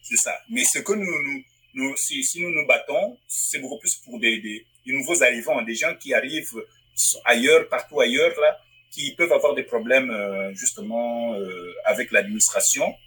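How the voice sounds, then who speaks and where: A man from Africa with a bright, forward tone and a husky edge to his voice. He answers interview questions as a publisher and writer, thinking aloud and often circling back to restart a phrase. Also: mid-range voice, moderate pace, poor audio. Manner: thoughtful, restarts phrases while searching for words. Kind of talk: interview guest. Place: Northwest Territories.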